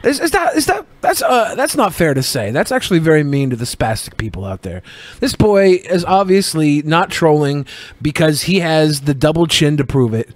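A man with a high-pitched voice that swings up and down a lot. He talks as a podcast co-host, riffing in rambling, foul-mouthed jokes. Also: sing-song, moderate pace, dry recording. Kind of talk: casual crude comedic banter.

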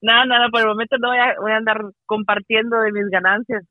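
A woman's voice: on the high side, little pitch movement, brisk, no room sound. A woman chatting casually as a participant on a radio show, over dull lo-fi call audio. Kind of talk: casual chat.

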